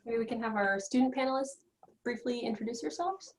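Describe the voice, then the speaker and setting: A woman's voice: on the high side, brisk. A woman who is a professor, moderating a student panel in a friendly, organized way.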